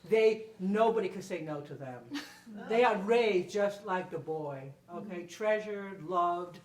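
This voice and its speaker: A woman's voice: on the low side, measured pace, darkish tone. An older woman telling stories conversationally to an audience, emphatic, repeating phrases and checking in with "okay?". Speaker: panel speaker and performer. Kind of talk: animated conversational storytelling to an audience. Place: indoor room at a public library event. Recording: roomy, distant mic, faint noise.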